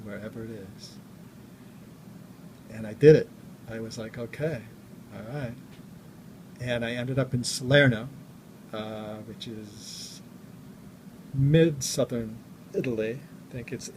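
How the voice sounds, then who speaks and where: A man recounts a personal story slowly and reflectively, often stopping and restarting his sentences. His pitch is in an ordinary middle range. A clear hiss of background noise runs under the whole recording. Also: low-quality recording. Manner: halting, with many restarts and trailing pauses. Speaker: vlogger.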